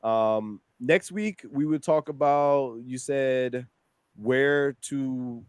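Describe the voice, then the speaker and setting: A man hosting a casual online chat, enthusiastic and quick to laugh. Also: mid-range voice, clean tone, dry recording. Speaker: show host.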